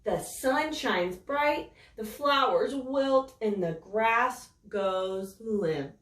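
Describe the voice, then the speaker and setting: A woman reading a picture book aloud to children in a slow, expressive, sing-song storytelling voice. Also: on the high side, far from the mic. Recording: roomy echo, voice set back from the mic.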